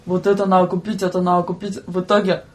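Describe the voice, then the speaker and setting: A woman's voice: on the low side, flat delivery, fast. A young woman chatting casually as a podcast guest, blunt, slangy and joking. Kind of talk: casual chat.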